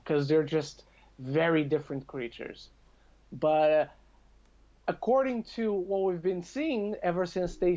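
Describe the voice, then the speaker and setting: A man's voice: on the high side, darkish tone. Male podcast guest, casual conversational delivery, slightly lo-fi sound. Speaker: podcast guest, video reviewer. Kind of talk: casual chat.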